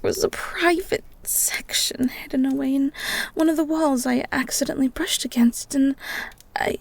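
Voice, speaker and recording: A woman playing a shy, bookish young woman in a romantic audio roleplay, stammering and halting in a soft, breathy voice. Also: sits high, whispered. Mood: flustered, defensive. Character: shy, flustered young bookish woman living in a beast's castle.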